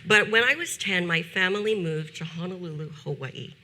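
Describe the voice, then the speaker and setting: A woman in her fifties, a teacher, giving a prepared talk at a conference with a measured, deliberate delivery and slowed emphasis on key phrases. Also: on the low side, sharp tone. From United States.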